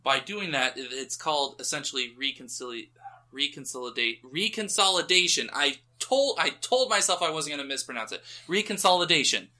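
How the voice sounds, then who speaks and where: A man's voice: fairly high pitch, sing-song, brisk, bright, forceful tone. A man whose first language is not English, co-hosting a podcast and explaining notes, stumbling over words and mocking himself. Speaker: podcast co-host presenting the topic. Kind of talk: casual chat, reading notes.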